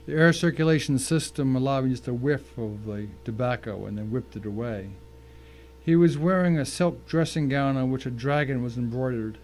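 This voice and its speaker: A man's voice: medium pitch, a little mellow, slight background noise, poor audio. A man giving scripted first-person narration in a dramatic audio story.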